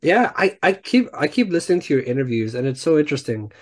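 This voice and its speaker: A young man hosting a podcast interview from home on a headset, speaking haltingly with many restarts. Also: on the high side, very animated. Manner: hesitant, many fillers and restarts. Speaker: podcast host. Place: home room, indoors. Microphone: headset on video call.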